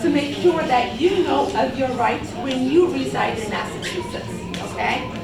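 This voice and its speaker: Patient woman from portugal running a workshop, keeps asking okay?, loud crowd noise around her. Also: high voice, lively intonation, moderate pace. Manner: plain, patient, often checks with "okay?". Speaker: community engagement coordinator. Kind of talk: community workshop presentation. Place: large public hall with an audience. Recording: echoey hall from far off, crowd noise.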